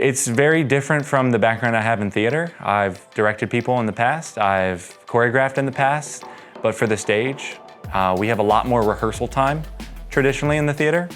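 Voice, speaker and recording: A man's voice: medium pitch, a bit bright. A man who directs movement on a film, speaking earnestly and reflectively in a behind-the-scenes interview.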